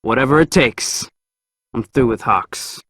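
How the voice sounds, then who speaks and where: An actor playing a boastful, defiant ace pilot with a grudge in a video game. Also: male voice, medium pitch, measured pace, a bit bright, no room sound. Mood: eager. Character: cocky, resentful male ace pilot defector.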